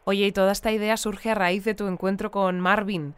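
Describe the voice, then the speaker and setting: Actress as composed lady radio host, super clear smooth voice, polished interview style for an audio drama. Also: medium pitch, level tone, brisk, close to an everyday voice. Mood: engaged, informative. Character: composed female radio news interviewer in a futuristic community. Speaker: radio presenter. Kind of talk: radio news magazine interviewing, audio fiction.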